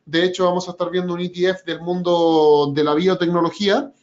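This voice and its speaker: A man with a fairly high voice teaches a trading webinar, explaining things patiently and stopping often on "eh" as he works through his points. The sound is muffled and lo-fi, like webinar audio. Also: flat delivery. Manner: measured, explanatory, with frequent "eh" and "por ejemplo". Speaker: webinar presenter and trading educator. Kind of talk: educational webinar presentation.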